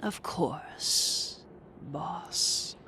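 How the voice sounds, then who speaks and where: A performer playing an obedient gang underling in an audio roleplay, speaking in a hushed, breathy, deferential way. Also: mid-range voice, drawn-out words, a little hiss or hum. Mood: hushed deference. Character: obedient, soft-spoken gang henchman reporting to the boss. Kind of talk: audio roleplay drama acting.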